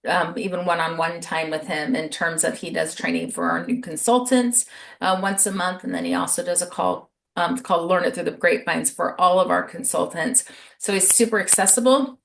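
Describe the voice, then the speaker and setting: A woman's voice: fairly low pitch, normal speed, creaky, a bit bright. A woman hosting a video call, giving an upbeat, persuasive sales presentation. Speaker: host.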